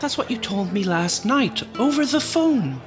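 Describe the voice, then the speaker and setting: A man's voice: extremely high pitch, expressive, a little slow, over music. A man playing a skeptical, condescending friend in an old-time radio melodrama, dismissive and exasperated. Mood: skeptical exasperation. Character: skeptical, condescending male friend of a frightened woman.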